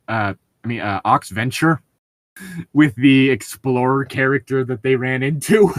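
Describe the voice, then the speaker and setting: Giggly man with animated, swooping delivery. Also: mid-range voice, no echo. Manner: giggly, often breaks into laughter. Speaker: podcast co-host. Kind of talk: casual reading aloud of tabletop gaming stories.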